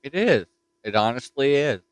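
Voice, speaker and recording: A man's voice: mid-range voice, lively intonation, normal speed, no room sound. A man with a Newfoundland accent chatting casually on a ham radio repeater from his home desk mic, folksy and full of fillers. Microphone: desktop mic.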